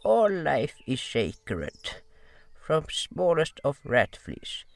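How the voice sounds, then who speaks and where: Guy doing a gravelly pacifist hermit creature, broken english, slow and swoopy. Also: on the high side, big pitch swings, faint music behind, full character voice. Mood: calm. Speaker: voice actor. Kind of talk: fan audio drama.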